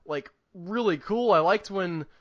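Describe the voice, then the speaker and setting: Man, high-pitched, bright forceful tone, enthusiastic, emphatic, casual movie review chat, rambling co-host. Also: measured pace, muffled recording. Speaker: podcast co-host reviewing films.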